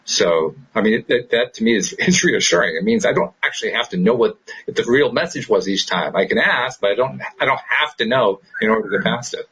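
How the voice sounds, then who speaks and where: Low, very husky man chatting casually. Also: expressive, brisk, few pauses, a bit bright, rough recording. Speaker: talk show co-host. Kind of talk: casual unscripted conversation.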